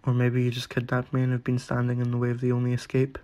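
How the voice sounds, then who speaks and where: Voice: mid-range voice, monotone, quick pace, warm tone, slight background noise, close to an everyday voice. An actor playing an awkward, anxious, self-deprecating young introverted man in short-story dialogue, hesitant and dry.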